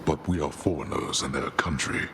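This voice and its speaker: A man's voice: a bass voice, normal speed, noisy background. A man playing a stern, bitter old god-king father in a video game, ponderous and imposing. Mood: mournful, grave. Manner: ponderous, scornful, mournful.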